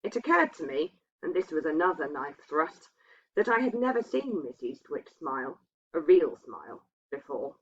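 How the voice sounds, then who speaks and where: A woman's voice: low voice, sing-song, moderate pace, husky. A woman reading a literary ghost story aloud as a narrator. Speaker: reader. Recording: dull, muffled lo-fi sound.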